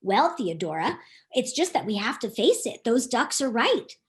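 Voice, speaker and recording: A woman's voice: a little high, sing-song, moderate pace, voice pushed down. A woman voicing an odd, frank male duck from a storybook, talking in an earnest, insistent way. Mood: matter-of-fact.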